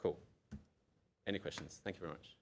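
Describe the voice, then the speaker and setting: A man's voice: sits low, a little fast, heavy background noise. Edinburgh-accented man giving a technical talk. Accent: Edinburgh Scottish. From Scotland. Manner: animated, restarts phrases, checks in with "right?" and "okay?". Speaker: conference speaker.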